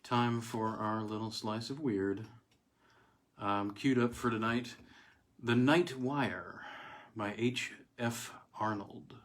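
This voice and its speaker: A man reading vintage weird fiction aloud as a dramatic first-person story, with deliberate pauses and emphatic stresses. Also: fairly low pitch.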